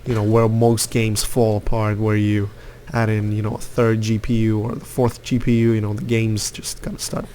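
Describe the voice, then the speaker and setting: A man's voice: lowish voice, level tone, moderate pace, hardly any pauses, warm tone, a little hiss or hum. A young man from Lithuania giving rambling, opinionated commentary over his gameplay, with lots of fillers. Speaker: gaming youtuber.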